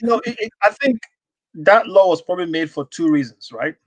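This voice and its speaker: A man's voice: high voice, animated, moderate pace, dry recording, poor audio. A man hosting a live stream talk show, answering in a thoughtful, agreeable way. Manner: thoughtful, agreeable, backchannels a lot. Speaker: host. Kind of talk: casual live stream chat.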